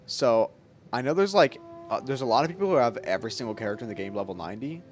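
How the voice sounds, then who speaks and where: A man's voice: mid-range voice, expressive, quick pace, slight background noise. A man streaming a game with casual, jokey, slangy commentary. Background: game music.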